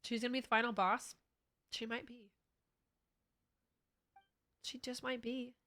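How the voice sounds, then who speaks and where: A woman's voice: fairly high pitch, little pitch movement, measured pace, pushed and bright. A woman streamer chatting casually and playfully, with exclamations, as she reacts to a game.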